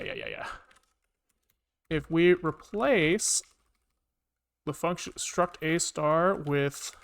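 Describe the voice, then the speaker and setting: A man's voice: a little high, sharp tone, clear, resonant tone. A man thinking aloud as he codes on a livestream, rambling casually, repeating words to himself and swearing offhandedly. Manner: casually profane. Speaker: programmer livestreaming coding.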